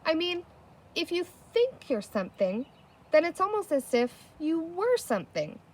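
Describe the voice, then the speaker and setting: A woman's voice: extremely high pitch, very animated, measured pace, voice pushed up, exaggerated voice. A woman voicing a cautious, thoughtful young girl in a storybook, speaking in a pondering, hesitant way.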